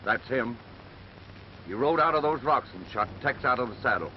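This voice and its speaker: A man's voice: mid-range voice, expressive, normal speed, close to an everyday voice. An American actor playing a coolly certain, accusing foreman villain in a 1930s western film. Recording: dull, hissy 1930s film soundtrack.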